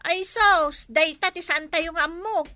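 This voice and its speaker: A woman playing a nosy, animated female villager who drawls and exclaims in an Ilocano comedy radio drama. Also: very high voice, a little slow, no echo, heavily acted. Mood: curious.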